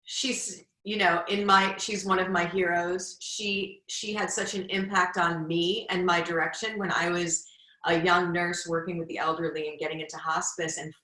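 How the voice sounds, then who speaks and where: A woman's voice: fairly low pitch, pushed and bright. A middle-aged woman hosting a podcast interview, speaking in an effusive, encouraging way. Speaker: podcast host, former hospice nurse. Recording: roomy echo.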